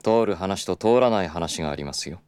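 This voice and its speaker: An actor playing a smooth, persistent, self-assured club executive in a Japanese audio drama, speaking politely and with unhurried insinuation. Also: male voice, deep voice, expressive, a little mellow, no room sound. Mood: coolly stern. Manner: polite, unhurried, insinuating formal business speech.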